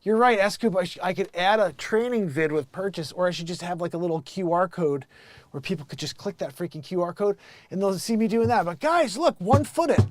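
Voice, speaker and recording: A man chatting casually and excitably to viewers as a livestream host, rambling off into asides. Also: high-pitched, brisk, no echo. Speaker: live stream host and street-style musician.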